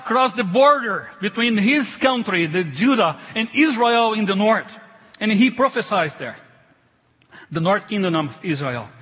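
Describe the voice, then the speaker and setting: A middle-aged man with a foreign accent, not a native English speaker, preaches a sermon as a pastor in an emphatic, halting way, repeating phrases. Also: sits high, a bit bright, breathy edge. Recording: reverberant large hall.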